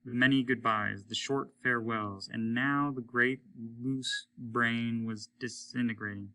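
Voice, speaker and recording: A man reading a short story aloud as dramatic narration, measured and solemn. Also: medium pitch, pushed and bright, rough recording. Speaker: audiobook reader, teacher.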